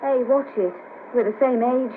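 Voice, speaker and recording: A woman's voice: a little high, moderate pace, narrow, tinny audio, close to an everyday voice. An actress playing a chirpy, bawdy, down-on-her-luck streetwalker of about thirty with a Liverpool accent, in a colloquial 1970s radio play. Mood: rueful, cheeky. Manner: colloquial, cheeky, earthy. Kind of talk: 1970s radio drama acting. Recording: patchy noisy cassette of 1970s radio broadcast.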